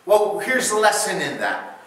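A middle-aged man preaching a sermon as pastor, earnest and halting with restarts, recorded by a far camera in a dim church hall. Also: sits high, sing-song, fairly bright tone. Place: dim church hall before a projection screen. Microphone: far camera, no close mic.

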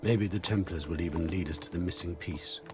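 An actor playing an older assassin master in a video game, a calm, patient mentor who speaks gravely and deliberately. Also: male voice, low-pitched, background noise, natural voice. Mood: reassuring. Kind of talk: dramatic game cutscene dialogue.

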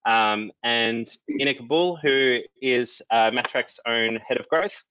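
A young man moderating a panel on a video call, relaxed and filling pauses with ums. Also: medium pitch, smooth, dry recording. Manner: relaxed, many "um" fillers. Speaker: moderator, company chief executive. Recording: dull lo-fi video call audio.

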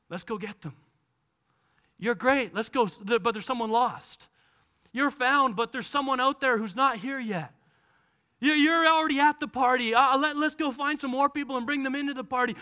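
A man in his thirties preaching a sermon on a large church stage, animated and acting out the voices of people in the text, heard through a headset mic. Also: extremely high pitch. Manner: animated, gestures widely and acts out voices from the text. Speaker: pastor.

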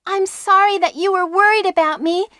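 A young female rookie medic in a 1990s video game. The voice is extremely high and girlish, recorded dry, with a stiff, eager-to-please delivery. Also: fairly even intonation, normal speed, sharp tone, dry recording.

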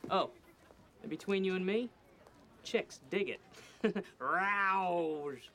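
A man's voice: high voice, drawn-out words, pushed and bright, full character voice. A middle-aged man playing a quirky, balding school principal who talks in a chummy, eccentric way.

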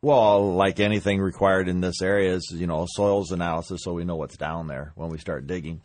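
A man's voice: sits low, fairly even intonation, brisk, darkish tone, no echo. A man speaking as a trade expert guest, explaining informally in a radio interview. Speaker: guest, basement builder.

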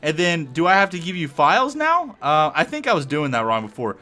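Young male let's-player, bright and animated, a little hoarse, rambling commentary. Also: a little high, fairly quick, soft background music. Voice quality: hoarse-sounding. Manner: rambling, thinking aloud with many fillers. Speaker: Minecraft let's play host. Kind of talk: casual gameplay commentary.

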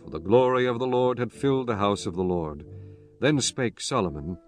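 A man narrating scripture from a script in a measured, formal way. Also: mid-range voice, animated. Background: music.

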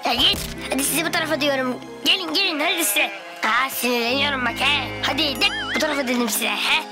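A woman voicing an earnest, expressive young boy who is easily exasperated in a children's cartoon. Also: sits high, quick pace, music in the background, natural voice. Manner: expressive, exclamatory.

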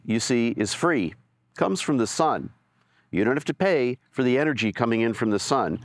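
An older man speaks straight to camera outdoors, explaining in an easy, conversational way. His voice sits at a middle pitch. The sound is close and dry, as from a lapel mic. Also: dry recording. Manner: plain, conversational, explaining with hand gestures. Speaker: presenter. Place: outdoors by solar panels and at a winery.

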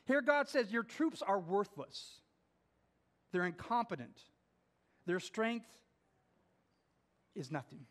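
A middle-aged man preaching a sermon as pastor in a small church, pacing while he reads scripture aloud and swings from emphatic to hushed. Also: high-pitched, sing-song, takes it slowly, long pauses. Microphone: far camera.

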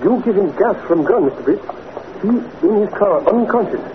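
A loyal valet in an old radio serial, high in pitch. He speaks politely in clipped broken English, heard through a tinny, noisy broadcast recording. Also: expressive, moderate pace. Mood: deferential, businesslike. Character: Cato, deferential Filipino valet and sidekick. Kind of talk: 1940s radio drama acting.

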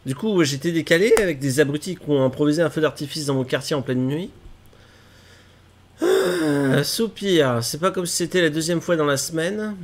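A man's voice: on the high side, very animated, normal speed. A man in his thirties with a cold, chatting casually to his live-stream viewers at home while fixing tech problems and gaming. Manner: chatty, self-mocking, fussing over tech trouble. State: stuffy with a cold. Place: small room at home, gaming chair.

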